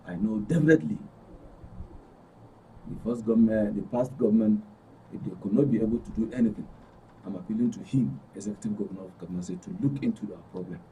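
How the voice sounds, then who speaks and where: A man's voice: on the low side, fast, dark tone, muffled recording, slight background noise. A man with a Nigerian accent speaking as a studio guest, emphatic and repetitive in an impassioned, pleading way. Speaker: studio guest, club member. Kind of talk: impassioned interview talk. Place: radio and TV studio. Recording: distant microphone.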